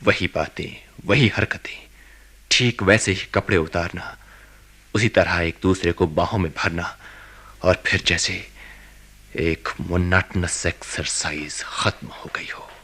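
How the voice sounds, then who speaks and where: A man acting a brooding, restless husband in a radio drama, in a measured, introspective and hesitant manner. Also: low voice, fairly even intonation, fairly bright tone, slightly rough, heavily acted. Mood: brooding, weary. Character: brooding, restless, bored husband confiding in his diary.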